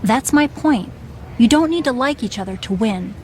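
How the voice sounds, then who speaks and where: A woman as a high-voiced, firm wrestling veteran. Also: lively intonation, normal speed, darkish tone, a little hiss or hum, natural voice. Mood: frank, firm. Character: bossy, seasoned female wrestling legend turned manager. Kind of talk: video game cutscene dialogue.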